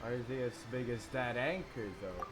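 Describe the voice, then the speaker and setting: Very low-voiced gaming streamer, a woman. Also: expressive, normal speed, noisy background. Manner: short agreeing reactions, wry asides. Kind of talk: casual playthrough commentary. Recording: game music behind the voice.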